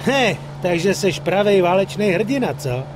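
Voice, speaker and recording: A breezy wiseguy gangster with a fairly high voice, full of slang and jokes. It is video game dialogue over clear engine noise. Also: male voice, lively intonation. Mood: breezy, curious. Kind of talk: video game cutscene acting.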